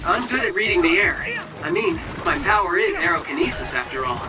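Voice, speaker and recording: A man's voice: high voice, big pitch swings, a little fast, recorded from a distance, over loud music. A man voicing a breezy, joking young psychic soldier in a video game, talking in a playful, casual way. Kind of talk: video game cutscene acting.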